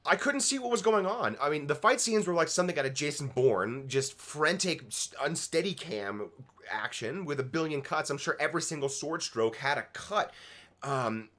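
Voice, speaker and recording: A man's voice: a little high, lively intonation, moderate pace, fairly bright tone. A man casually giving opinionated commentary as co-host of a fan review show, emphatic and swearing freely. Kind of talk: casual opinionated episode discussion.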